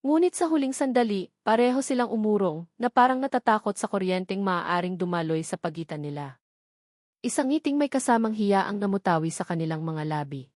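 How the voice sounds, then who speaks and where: A woman with a Filipino accent narrating a scripted love story in a measured, expressive, dramatic way. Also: medium pitch, dry recording, clean studio sound.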